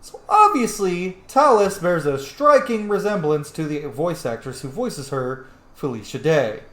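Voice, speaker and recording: A man's voice: fairly high pitch, lively intonation, measured pace, fairly bright tone, reverberant. A man giving casual, playful game commentary as host, reacting aloud with asides. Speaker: gaming video host and player.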